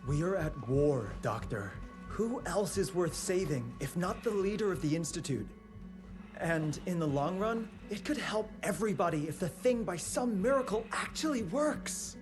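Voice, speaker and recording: A man's voice: sits high, expressive, normal speed, over music. An actor voicing a loyal male engineer who talks in an earnest, persuasive way. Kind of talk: video game cutscene acting.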